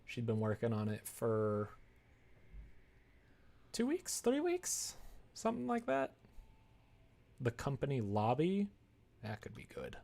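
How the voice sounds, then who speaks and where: A man with a very creaky, gravelly voice at an ordinary pitch chats casually on a livestream. He thinks aloud as he browses, taking his time and slipping between muttered notes to himself and playful asides. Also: wildly swooping pitch, slow pace, pauses often, darkish tone. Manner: muttering to self, playful asides and sound effects. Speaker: livestreamer making a visual novel.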